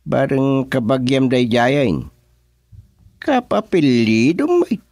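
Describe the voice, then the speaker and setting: A man's voice: mid-range voice, big pitch swings, a little mellow, no room sound, studio quality. A man acting a mediating, questioning village chairman in a radio comedy drama, with an Ilocano accent. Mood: helpful, deliberate. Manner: deliberate, questioning.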